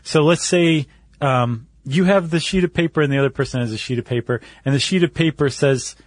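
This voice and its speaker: A man's voice: on the high side, fairly quick, no room sound. A man co-hosting a casual podcast, explaining things step by step in informal, slangy language. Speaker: podcast co-host.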